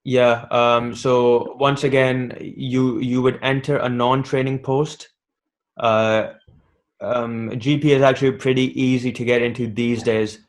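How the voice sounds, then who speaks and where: A man's voice: medium pitch, level tone, a little slow. A man on a webinar panel, giving casual advice as a doctor with frequent ums and restarts over a video call. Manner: hesitant, many ums and restarts.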